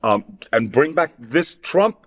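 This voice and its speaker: A middle-aged man with a mid-range voice chats casually as a co-host. He gets excitable, stumbling forward and repeating phrases to make his point. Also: mid-range voice. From Queens, New York. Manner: excitable, halting repetitions for emphasis. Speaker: talk show co-host. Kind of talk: casual chat.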